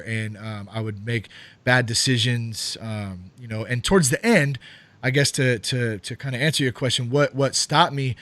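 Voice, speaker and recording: A man's voice: mid-range voice, fairly bright tone, no room sound. Podcast host, male, mid-thirties, mid-range voice, dry close sound, rambling casual delivery with frequent swearing. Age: 30s. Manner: rambling, loose, swears freely, many fillers.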